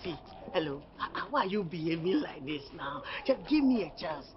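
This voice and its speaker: A man's voice: on the high side, sing-song, fairly quick. Man as cheeky skirt-chaser, giggles constantly, faint room hiss. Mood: flirtatious. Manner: laughing often, playful teasing. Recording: dull audio with faint ambience.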